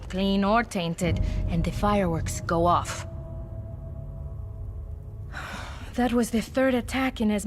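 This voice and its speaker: A woman's voice: mid-range voice, close to an everyday voice. Woman acting a weary Spanish-accented field operative over music. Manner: earnest, dramatic, weary. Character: embattled, distrustful female field operative, determined and weary. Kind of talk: dramatic video game monologue.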